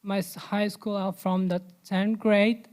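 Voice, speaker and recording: A woman's voice: medium pitch, level tone, unhurried, darkish tone. A young woman from Afghanistan, introducing herself carefully and repeating words as she searches for them. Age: young adult. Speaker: student panelist.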